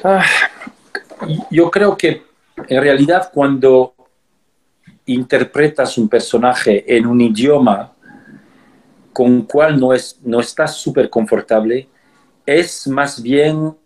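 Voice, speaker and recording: A man answering interview questions as an actor, thoughtful and halting, often pausing and restarting his sentences. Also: medium pitch, a little mellow, poor audio.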